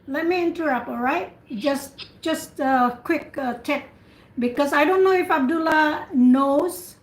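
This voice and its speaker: A woman tutoring speaking practice on a video call, chatty with quick asides and frequent laughs. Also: high-pitched. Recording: echoey room on a call.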